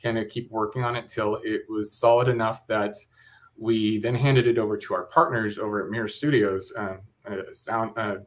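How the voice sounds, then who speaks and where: A man's voice: lowish voice, steady tone, normal speed. A man speaking as an interview guest, a director explaining his working process in an informal, think-aloud way.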